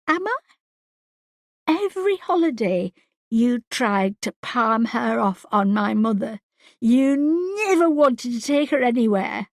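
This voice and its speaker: A woman voicing a distraught mother whose daughter is missing, pleading and shouting accusations at her husband. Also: medium pitch, flat delivery, takes it slowly, vocal fry, no room sound, clean studio sound. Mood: furious.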